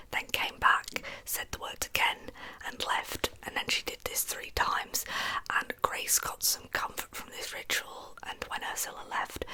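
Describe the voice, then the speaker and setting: A woman whispering softly and breathily in an unhurried ASMR style, narrating a story as its host with casual asides. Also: rough recording. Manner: soft-spoken, unhurried storyteller. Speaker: ASMR channel host telling true crime stories.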